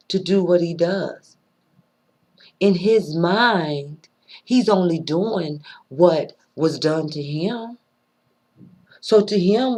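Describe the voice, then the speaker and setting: A woman with a rough voice giving an earnest, preachy monologue, pausing often and repeating her words as she thinks aloud. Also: low-pitched, a little mellow. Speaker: vlogger sharing personal views. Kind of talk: unscripted spoken monologue.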